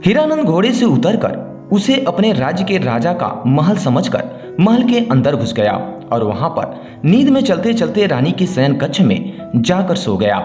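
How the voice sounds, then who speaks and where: A man's voice: fairly high pitch, darkish tone. A man narrating a scripted moral story as a storyteller. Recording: roomy echo, music behind. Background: background music.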